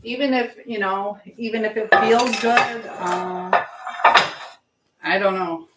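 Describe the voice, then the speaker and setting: A woman's voice: mid-range voice, fairly even intonation, takes it slowly, heavy background noise. Rambling middle-aged woman chatting casually. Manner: rambling, hopping between chat and task; chatting while baking. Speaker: live stream host. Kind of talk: casual live chat, reading out viewer comments.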